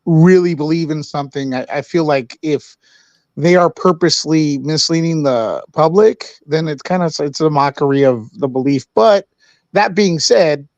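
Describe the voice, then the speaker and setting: Male livestream host, loose and rambling delivery, muffled low-fidelity audio. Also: normal speed, darkish tone, no echo. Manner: rambling, with many fillers and restarts. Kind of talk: casual chat. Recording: dull lo-fi audio.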